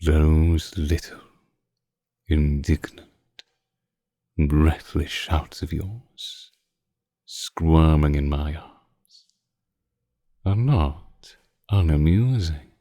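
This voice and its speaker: An ancient vampire lord, acted by a man, speaks in an extremely low, dark voice. He is suave and predatory, drawing out each phrase slowly with teasing condescension, as in an intimate audio roleplay. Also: no room sound, clean studio sound, heavily acted. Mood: amused, condescending. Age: older. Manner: slow, deliberate, archaic phrasing, teasing condescension. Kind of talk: scripted roleplay audio drama, intimate close-mic monologue.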